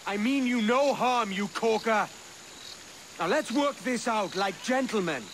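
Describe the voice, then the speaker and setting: An actor playing a blunt, defiant pirate captain in a video game, scolding and exasperated. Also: male voice, high voice, measured pace, fairly bright tone, a little hiss or hum. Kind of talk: dramatic video game acting. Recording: music behind the voice.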